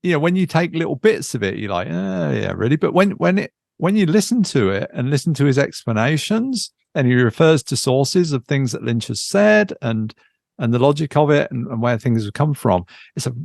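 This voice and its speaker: A man's voice: medium pitch, very animated, normal speed, gravelly, darkish tone, no echo, clean studio sound. A man chatting casually about films as a podcast co-host.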